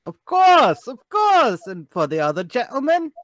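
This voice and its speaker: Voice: high voice, huge pitch swings, measured pace, no echo, dull audio, pitched above the performer's own voice, exaggerated voice. A performer voicing a chatty, folksy, easily alarmed English woman who keeps a small-town shop, in a radio drama read. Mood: polite, chatty. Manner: deferential, folksy.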